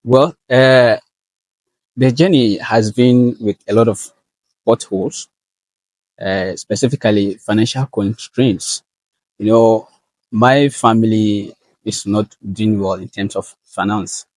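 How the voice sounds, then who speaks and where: A young man with a Ghanaian accent gives earnest, measured answers as an interview guest, often pausing on fillers. His voice sits fairly low, and the recording is dry and close. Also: darkish tone, dry recording. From northern Ghana. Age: young adult. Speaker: guest, valedictorian student.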